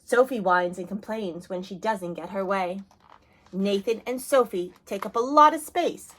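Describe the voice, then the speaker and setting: Woman, picture-book read-aloud, expressive, hushes for sad parts, mid pitch. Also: measured pace, poor audio. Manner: expressive, dropping soft for sad moments. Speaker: reader.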